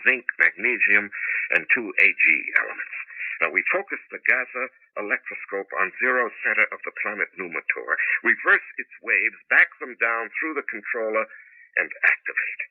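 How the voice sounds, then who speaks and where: Distraught scientist husband, radio play, rough-edged voice, stammering pleas, dry narrow-band recording. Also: male voice, lowish voice, lively intonation, normal speed, dry recording. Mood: explaining. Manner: stammering, pleading. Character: distraught male inventor scientist desperate to save his wife. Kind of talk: radio drama acting. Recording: muffled phone-like old radio broadcast.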